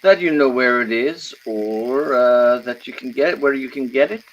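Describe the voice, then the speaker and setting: Male radio drama crook, actor-played: over-polite, furtive, formal and hesitant, mid-pitched, on dull lo-fi sound. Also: a little slow, natural voice. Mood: nervous, insistent. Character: fussy, polite, furtive Greek crook with a pistol. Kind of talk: old-time radio drama acting.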